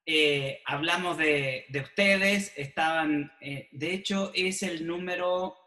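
A man's voice: on the high side, takes it slowly, pushed and bright. A man hosting an informal interview show. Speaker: show host. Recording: echoey room.

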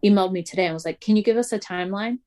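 A woman co-hosting a casual podcast, talking fast and loosely with lots of "like" and frequent laughs. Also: medium pitch, warm tone. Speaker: podcast co-host. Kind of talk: casual chat.

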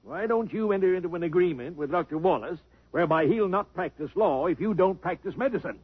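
A man's voice: high voice, expressive, brisk, breathy edge, no room sound. An actor playing a grumpy, sarcastic rich old bachelor who growls from his sickbed in a 1950s radio drama. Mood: grumbling. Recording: tinny old radio broadcast.

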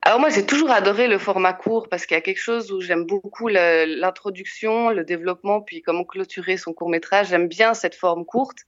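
A woman's voice: medium pitch, brisk, few pauses, dry recording, lo-fi sound. A Belgian woman film director, answering interview questions in a hesitant way with many fillers and restarts. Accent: Belgian French.

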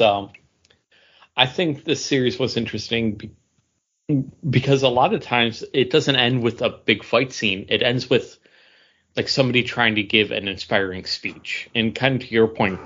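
A man's voice: on the low side, fairly bright tone. A man co-hosting a podcast, giving his take on shows in casual chat over a voice call. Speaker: podcast co-host. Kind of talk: casual movie and TV review chat. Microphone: internet voice call. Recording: muffled, dull voice-call audio.

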